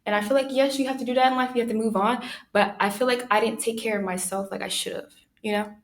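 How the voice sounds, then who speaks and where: A young woman hosting her own podcast, talking through personal thoughts in a soft, soothing voice, pausing often and using many fillers. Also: fairly high pitch, steady tone. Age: young adult. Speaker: podcast host. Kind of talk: reflective personal monologue.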